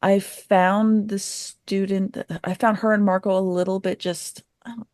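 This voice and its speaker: A woman's voice: mid-range voice, flat delivery, a little slow, a little mellow, dry recording, clean studio sound. A woman giving casual review comments as a podcast panelist, meandering and often voicing her own thoughts.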